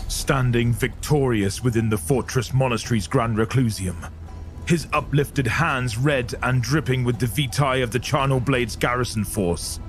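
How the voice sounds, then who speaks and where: A man giving dramatic scripted narration for an audio drama, grave, portentous and theatrical. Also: medium pitch.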